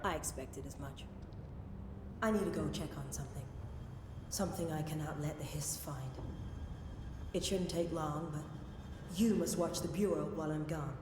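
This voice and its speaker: An actress playing a stern, secretive senior agency official in a video game, formal, clipped and matter-of-fact. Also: female voice, deep voice, normal speed, pauses often, background noise, lightly acted. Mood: grave, measured. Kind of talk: video game cutscene dialogue.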